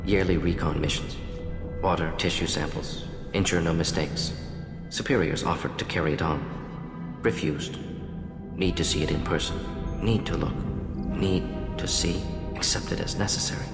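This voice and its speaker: A man's voice: low voice, steady tone, normal speed, gravelly, long pauses. A male actor playing a proud, conflicted alien scientist in a video game, speaking in clipped, telegraphic phrases with small words dropped. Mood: grave, mournful. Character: fast-thinking alien scientist doctor, proud, conflicted, defensive. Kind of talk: dramatic video game dialogue. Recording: echoey hall reverb, music behind.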